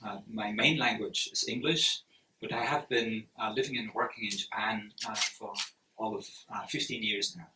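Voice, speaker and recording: A man's voice: fairly low pitch, fairly even intonation, normal speed. A Belgian man whose first language is Dutch, introducing himself hesitantly at a panel. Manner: hesitant, filler-laden. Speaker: festival panelist. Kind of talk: self-introduction. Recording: distant, noisy hall mic.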